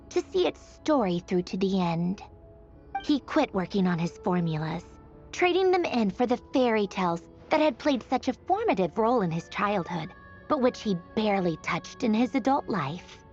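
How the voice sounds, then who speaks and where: An aloof, wry woman storyteller from a video game recounts tragic history in a theatrical, musing way. Her mid-pitched voice rises and falls widely, with faint mockery in the delivery. The sound is a little dull, with soft music underneath. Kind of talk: scripted dramatic video game narration. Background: background music.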